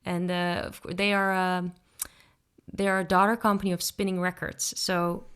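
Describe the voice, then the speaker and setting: Dutch woman in her thirties, a podcast guest, clear voice, easygoing and reflective. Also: on the low side, moderate pace. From Netherlands. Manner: relaxed, thoughtful, frequent ums and restarts. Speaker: guest singer-songwriter. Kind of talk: casual interview answers.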